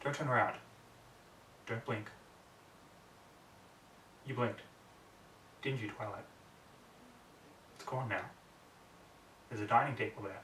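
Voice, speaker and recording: A man's voice: mid-range voice, takes it slowly, long pauses, fairly bright tone, a little hiss or hum. A man narrating a story in a brooding, theatrical way, often in clipped fragments. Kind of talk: dramatic story reading. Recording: roomy echo, distant microphone.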